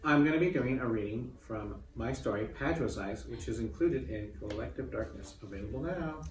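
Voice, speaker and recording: A man's voice: medium pitch, expressive, moderate pace. A man co-hosts with playful, casual banter. He sits back from the microphone in a roomy space, and a faint noise runs behind his voice. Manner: playful, joking. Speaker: co-host and author. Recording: roomy, distant mic, faint background noise.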